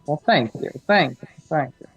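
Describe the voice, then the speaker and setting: A man's voice: on the high side, expressive, normal speed, lo-fi sound, soft background music. A man in his thirties co-hosting a live movie commentary, talking in a friendly way and laughing often. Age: 30s. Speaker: co-host of a movie commentary channel. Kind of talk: casual live chat with viewers.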